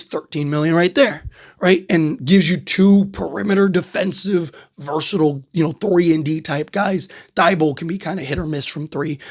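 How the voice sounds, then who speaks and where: Guy with a scratchy sick voice, kinda high, rambling about basketball trades, lots of "right?". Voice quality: frequent "right?" tags and filler words. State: hoarse, losing his voice from a cold. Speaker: basketball podcast host. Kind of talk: casual solo sports commentary.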